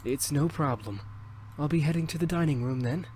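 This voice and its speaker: A man voicing a calm, soft-spoken young male incubus in a visual novel game. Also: a little high, a little fast, a little hiss or hum, close to an everyday voice. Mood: gentle, reassuring. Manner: quiet, soft-spoken, hesitant. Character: calm gentle young male incubus with mind-reading powers.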